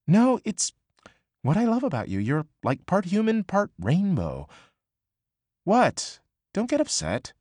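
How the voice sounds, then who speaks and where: Audiobook: man doing a high, swooping, pushy young woman, close and dry. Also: huge pitch swings, darkish tone, no echo, exaggerated voice. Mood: teasing. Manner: quick, emphatic, swearing freely. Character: brash, excitable young woman, pushy and gossipy. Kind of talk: audiobook character voice.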